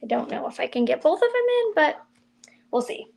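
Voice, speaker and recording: A middle-aged woman casually chatting through a crafting livestream, mild and soft-spoken as she thinks aloud while she works. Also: high-pitched, sing-song, a little fast, gravelly, a little hiss or hum. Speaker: card-making demonstrator.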